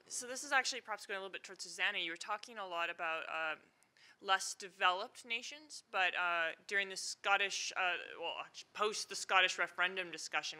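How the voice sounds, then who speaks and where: A woman's voice: on the low side, sharp tone. A woman in her thirties asking a wandering question from the audience, with many fillers. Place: conference hall audience.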